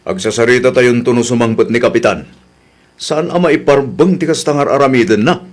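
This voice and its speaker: A male actor plays a village councilman in an Ilocano comedy radio drama. The voice is a man's of middling pitch, with an Ilocano accent. He reasons patiently and admonishes firmly, like an official settling a squabble. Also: fairly quick, close to an everyday voice. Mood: exasperated, firm.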